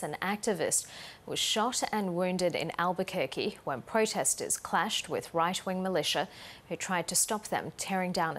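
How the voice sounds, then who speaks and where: Female Australian news anchor, lowish pitch, scripted bulletin read, faint noise behind.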